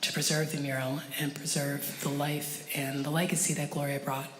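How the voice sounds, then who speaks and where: A woman speaking slowly and steadily about her late wife, with a subdued, hesitant delivery. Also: sits low, flat delivery. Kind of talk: slow, measured testimony. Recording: echoey room with long reverb, faint noise.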